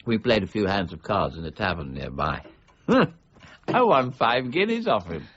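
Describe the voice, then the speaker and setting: An actor playing a learned, middle-aged official in a period radio drama, formal, precise and a little hesitant. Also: male voice, fairly low pitch, big pitch swings, a little slow, fairly bright tone, breathy edge, dull audio, exaggerated voice. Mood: tipsy, pleased.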